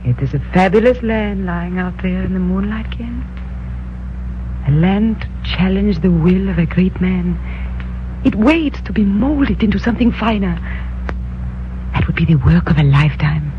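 An actress playing an earnest young female ruler of an eastern kingdom, speaking softly and formally in 1950s radio drama style. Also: mid-range voice, normal speed, close to an everyday voice. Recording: dull old radio broadcast with hum.

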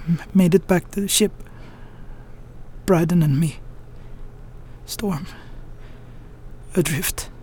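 An actor playing an earnest, haunted first mate in a radio drama. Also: male voice, a little high, a little mellow, slight background noise. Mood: shaken, grieving. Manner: halting, broken phrases when recounting.

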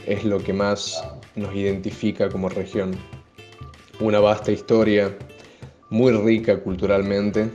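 A man's voice: fairly low pitch, mellow, highs cut off. A man with an Argentine accent giving a prepared personal statement. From Catamarca, Argentina.

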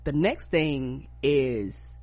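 A woman co-hosting a podcast, stuffed up with a cold, telling stories in a casual, profane, animated way. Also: extremely low pitch, very slow. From rural United States. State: congested from a cold. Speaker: podcast co-host.

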